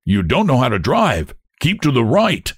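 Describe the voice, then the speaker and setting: A man voicing an angry, indignant, cursing coachman in a storybook, shouting abuse. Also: mid-range voice, wildly swooping pitch, moderate pace, slightly rough, voice pushed up.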